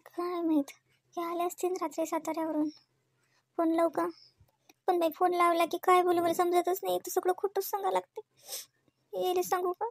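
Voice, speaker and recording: An extremely high, dry-sounding young wife from a comedy cartoon, acted by a woman, with a Vidarbha Marathi accent. She is nervous and flustered, hesitating and muttering her worries to herself. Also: level tone, fairly quick, long pauses, no room sound. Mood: anxious muttering.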